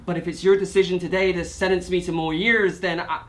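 A bitter, defiant male prisoner, performed by an actor in a dramatic courtroom monologue. His voice is forceful and sharp-edged, and he repeats words as he addresses those who will sentence him. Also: sits high, little pitch movement. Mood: wry, frustrated. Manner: halting, repeats words, building from subdued to shouting.

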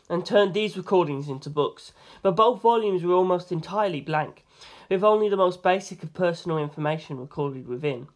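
A reader narrating a novel aloud in the first person, expressive and informal. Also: mid-range voice. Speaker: amateur audiobook reader.

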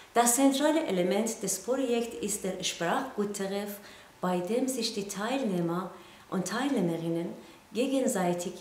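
A woman in her thirties speaks as project coordinator, laying out details in a measured, steady way. Her voice sits at a middle pitch. The room around her rings noticeably. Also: reverberant, a little hiss or hum. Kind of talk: prepared statement to camera. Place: indoor studio backdrop.

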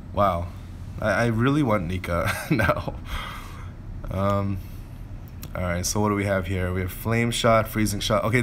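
A man's voice: lowish voice, a bit bright, a little hiss or hum. A man giving casual gameplay commentary, informally thinking aloud as he plays. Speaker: gameplay video creator.

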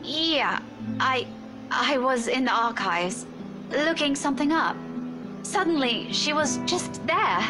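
A proud young female teacher acted by a woman in a visual novel game. She sounds high-pitched, flustered and a little indignant, over clearly audible music. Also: expressive, slow.

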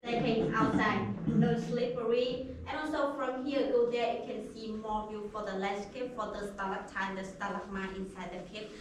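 A woman with a somewhat raised pitch, explaining the cave to tourists in plain, broken English. The chatter of a crowd carries clearly behind her, and she speaks inside the cave. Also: little pitch movement, moderate pace, long pauses, poor audio. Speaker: cave tour guide.

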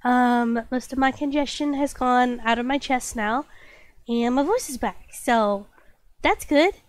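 Stuffy-nosed high-voiced woman streamer. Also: a little slow. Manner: bubbly, apologetic, lots of fillers. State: stuffy nose and lingering cough. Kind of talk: casual chat with stream chat.

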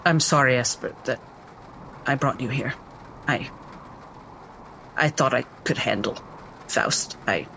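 Male player as scholarly doctor: formal, careful, halting, crisp bright tone at an unhurried pace. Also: medium pitch, a little hiss or hum, full character voice, low-quality recording. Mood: remorseful. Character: measured, intellectual doctor adventurer. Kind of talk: in-character tabletop roleplay.

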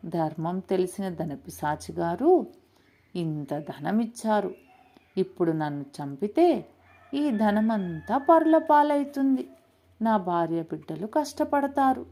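A wary, clever village man from a storybook, voiced by a woman. He pleads and bargains politely at a slow pace, with a soft, mellow tone. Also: mid-range voice, expressive. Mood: pleading. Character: frightened but quick-witted village man, pleading and bargaining.

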